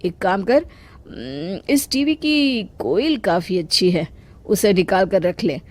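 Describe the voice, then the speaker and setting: A woman's voice: medium pitch, lively intonation, slow. A woman voicing a greedy, dishonest male village repairman in a storybook tale, brusque and dismissive. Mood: bossy, scheming. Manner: dismissive, hard-nosed, wheedling. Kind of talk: children's storybook acting.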